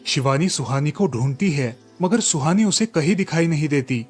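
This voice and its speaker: Casting: male storyteller for animated tales, slightly high register, scripted delivery, light musical bed. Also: moderate pace, breathy edge, faint music behind. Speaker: narrator. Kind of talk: scripted storybook narration for cartoon.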